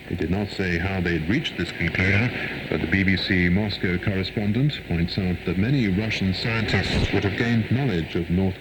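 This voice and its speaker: Deep-voiced newsreader on noisy shortwave. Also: male voice. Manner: measured, formal delivery. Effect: shortwave radio. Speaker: radio newsreader. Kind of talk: formal scripted world news bulletin. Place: broadcast studio, heard over shortwave. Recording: noisy 1990s shortwave radio reception.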